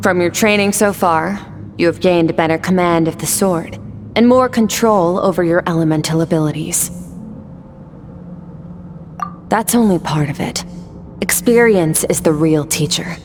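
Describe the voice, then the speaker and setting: A woman playing a stoic, rational warrior mentor in a video game, composed, measured and detached. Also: fairly low pitch, a little mellow, big-room sound, a little hiss or hum, lightly acted. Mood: composed, instructive. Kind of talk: dramatic video game voice acting.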